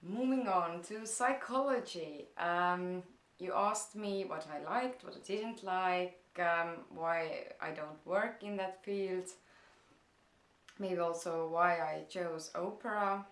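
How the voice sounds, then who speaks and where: Slow, hesitant woman with Estonian accent. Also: fairly low pitch, a bit bright, roomy sound. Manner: hesitant, many "um"s, slow and thoughtful. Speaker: vlogger. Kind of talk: casual Q&A vlog. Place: parents' house, indoors.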